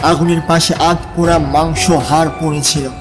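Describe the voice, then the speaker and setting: A man's voice: a little high, flat delivery, normal speed, music in the background. A man narrating fiction in a dramatic, scripted audiobook style.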